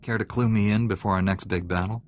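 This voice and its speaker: An actor playing a calm, skeptical heroic knight in a video game, measured and dryly questioning. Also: male voice, lowish voice, slight background noise, natural voice. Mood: dry, curious. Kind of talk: video game character dialogue.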